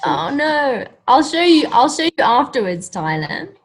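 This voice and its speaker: A woman reading a story aloud to children, animated and encouraging, pausing to ask them questions directly. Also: high voice, breathy edge. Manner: animated, encouraging, addresses young listeners directly.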